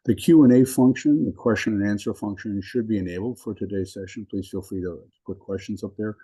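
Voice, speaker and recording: Male law professor hosting a webinar, low mellow voice, measured with ums, video call. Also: husky, poor audio. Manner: measured, with frequent ums and restarts. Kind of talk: webinar introduction.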